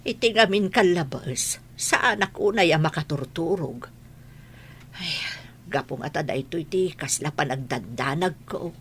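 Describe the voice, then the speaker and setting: A weary, worried old wife in a radio drama. Her voice is on the low side, with faint noise behind it. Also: expressive, normal speed. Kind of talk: Ilocano radio drama acting.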